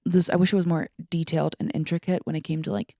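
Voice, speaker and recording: A young woman co-hosts a podcast in a fairly low voice with plenty of vocal fry. She talks casually and bluntly, leaning on filler words. The sound is dry and close, with very little room. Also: level tone, fast talker, dark tone, no room sound. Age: young adult. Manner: informal, peppered with like and mild swearing. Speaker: podcast co-host. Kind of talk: casual opinionated chat.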